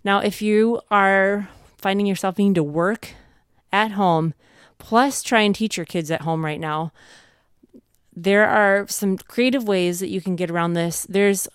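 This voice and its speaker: A woman's voice: mid-range voice, unhurried, clean tone, dry recording, studio quality. A middle-aged woman talking casually and encouragingly as a parent sharing homeschooling advice. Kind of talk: casual conversational advice talk.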